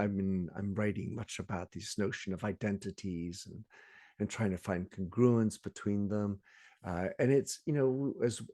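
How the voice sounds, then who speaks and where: A man hosting a conversational interview, musing in measured, hesitant phrases. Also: lowish voice, dark tone, no room sound, clean studio sound. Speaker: podcast host, interviewer.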